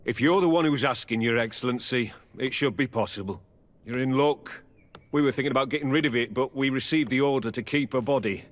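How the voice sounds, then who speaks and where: A man's voice: mid-range voice, fairly quick, close to an everyday voice. A man acting a blunt, unsentimental military captain in a video game, brusque and matter-of-fact.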